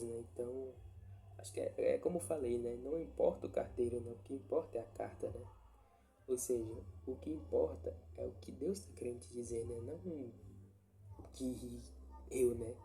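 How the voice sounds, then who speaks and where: A man's voice: medium pitch, normal speed, creaky, dark tone. A man, stuffy with a cold, giving an informal Bible reflection with many restarts and tag questions. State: congested with a cold. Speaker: lay preacher sharing a Bible reflection. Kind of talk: informal devotional talk. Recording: faint background noise.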